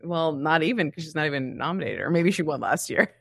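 A woman's voice: sits low, animated, quick pace, creaky, dry recording, studio quality. A woman co-hosting a podcast in casual chat, talking in an emphatic, wry, teasing way. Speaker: podcast co-host.

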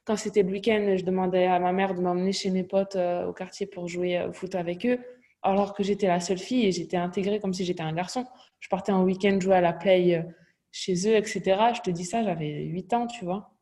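A woman in her thirties from near Toulouse, speaking with a Toulouse accent as a guest on a video call. She talks in a lively way, with many hesitations and restarts. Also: fairly low pitch, a little fast. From southwest France, near Toulouse. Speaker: guest, sports coach. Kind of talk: casual remote interview. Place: home, over a video call.

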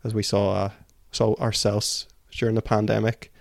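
Male co-host for book discussion: lowish, flat intonation, analytical, very dry close sound. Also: normal speed, creaky, no echo.

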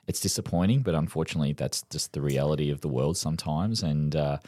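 A man's voice: very deep voice, fast, darkish tone, no echo, studio quality. A man, hosting a casual podcast interview and asking frank, supportive questions. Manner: frank and supportive, with hesitant restarts. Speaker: podcast host.

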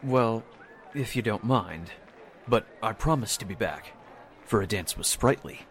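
A modest young army corporal acted by a man in a 1940s-style radio play. His low voice is courteous and a little bashful. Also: expressive, measured pace. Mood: bashful, polite.

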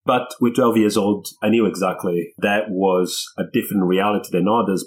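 A man's voice: low voice, poor audio. A middle-aged man from Angola speaking as a podcast guest, telling his life story in a reflective, conversational way.

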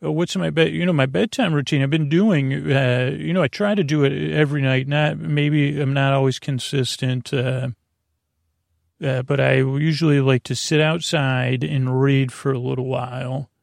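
Man, creaky voice, dry close sound, halting rambling sleep-podcast monologue, gentle deadpan silliness. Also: medium pitch, no echo. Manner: meandering, halting, often losing his thread; gentle, silly deadpan humor. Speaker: podcast host.

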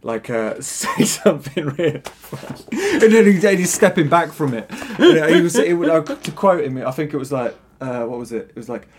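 A man's voice: a little high, lively intonation, normal speed, few pauses, darkish tone, slight background noise. A man chatting casually about his electronics builds, rambling with many restarts and frequent laughs. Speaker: online synth builder. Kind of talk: casual podcast chat.

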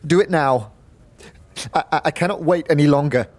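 A man's voice: a little high, moderate pace, darkish tone, pitched above the performer's own voice, lightly acted. A man voicing a frightened, desperate young man who pleads and stammers, in an audio drama with music behind him. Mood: frantic pleading.

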